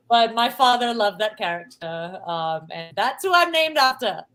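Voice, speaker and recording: A woman with a light Singaporean accent talks easily as an interview guest. She is playful and chatty, laughs often and fills her pauses with "um" as she tells her stories. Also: on the high side, sing-song, a bit bright. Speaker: musical guest. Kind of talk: casual interview chat.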